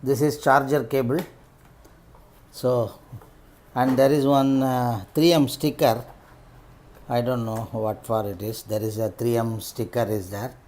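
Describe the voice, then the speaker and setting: A middle-aged man with an Indian accent giving unscripted unboxing commentary as a vlogger, in halting, piecemeal phrases. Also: mid-range voice, fairly even intonation, darkish tone. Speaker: vlogger reviewing rider gear.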